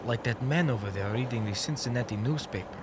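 A man's voice: medium pitch, quick pace, noisy background, natural voice. A young man acting a wry Soviet runaway in a radio comedy, theatrical and speaking of himself in the third person. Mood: wry, guarded. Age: young adult. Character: wry runaway Soviet comrade Mushnik, dreaming of being American.

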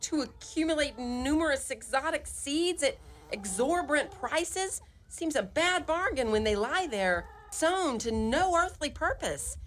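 A woman's voice: high voice, fairly bright tone. A woman playing a poor, guilt-tripping old mother in a radio play, with melodramatic, old-fashioned speech. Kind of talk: live radio play acting. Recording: live studio recording, clearly noisy ambience.

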